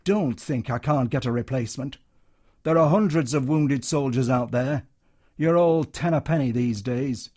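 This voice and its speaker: Male reader voicing a bullying showman, high and loud, hectoring. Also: big pitch swings, normal speed. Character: blustering London comedian, troupe leader, bullying then wheedling.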